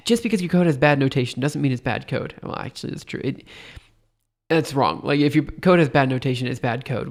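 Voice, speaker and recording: A tired man with a stuffy nose thinks aloud while live coding on a stream, rambling hesitantly and poking fun at himself. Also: mid-range voice. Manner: rambling, hesitant, self-deprecating.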